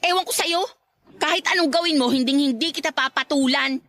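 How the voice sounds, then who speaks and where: An actress plays a sharp-tongued young woman in a radio drama. Her voice is very high and bright, recorded dry and close, and she scolds with open, haughty disdain. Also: a little fast, no room sound. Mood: annoyed. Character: feisty, disdainful young college-graduate woman rebuffing a suitor. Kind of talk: Tagalog radio drama acting.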